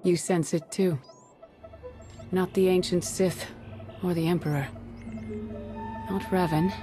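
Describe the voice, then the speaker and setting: A fairly low woman's voice over faint music. a serene, perceptive mystic leader in a video game, grave and composed, choosing words deliberately. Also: steady tone. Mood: grave, watchful.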